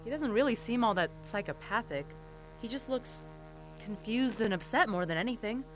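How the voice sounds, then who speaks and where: Actress as a wry, animated female investigator. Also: a little high, moderate pace, faint music behind, natural voice, low-quality recording. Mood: sarcastic, puzzled. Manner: wry, questioning. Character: skeptical, sarcastic female police investigator. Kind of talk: audio drama acting.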